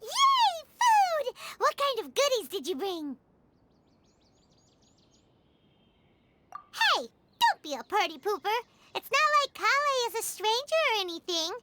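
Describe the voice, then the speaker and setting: Very squeaky, excitable little fairy. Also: female voice, normal speed, few pauses, very noisy, exaggerated voice. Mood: excited. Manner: refers to self in third person, bubbly and exclamatory. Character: tiny floating fairy companion, childlike, chirpy, excitable, food-loving. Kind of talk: anime-style video game voice acting.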